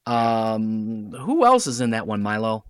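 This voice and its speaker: A man's voice: on the low side, sing-song, measured pace, clean tone, no room sound. A middle-aged man telling stories casually as a guest in an interview, chatty and fond of quoting conversations. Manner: chatty, recounts conversations in quoted dialogue. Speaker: radio play writer and director, podcast guest.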